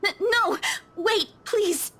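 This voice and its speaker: A woman playing a shaken, anxious young woman in a visual novel, speaking haltingly and fragilely. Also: very high-pitched, bright, forceful tone, full character voice. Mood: frightened, pleading.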